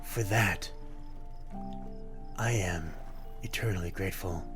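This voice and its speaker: A man's voice: sits low, slow, over music. A man playing a lonely, courteous vampire in an ASMR roleplay, speaking in a formal, poetic and brooding way. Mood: melancholy, poetic. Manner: formal, archaic, poetic phrasing, gentle and brooding. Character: lonely, courteous, melancholy male vampire stranger by a harbor. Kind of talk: ASMR roleplay, slow theatrical monologue.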